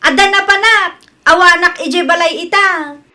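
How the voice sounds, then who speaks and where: A woman's voice: extremely high pitch, measured pace, bright, forceful tone, heavily acted. A woman playing an evasive, glib married friend in an Ilocano radio drama.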